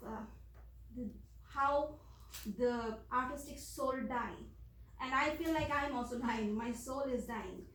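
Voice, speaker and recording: A woman from Bangladesh speaking earnestly on a panel, pausing often with fillers. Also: fairly high pitch. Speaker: panelist, songwriter and singer. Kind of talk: panel discussion remarks. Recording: echoey room, distant mic, faint noise.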